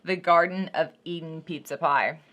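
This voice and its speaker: A woman's voice: sits low, fairly even intonation, normal speed, a bit bright. A woman in her thirties giving a casual, unscripted product review as a vlog host, talking to viewers in an informal, folksy way.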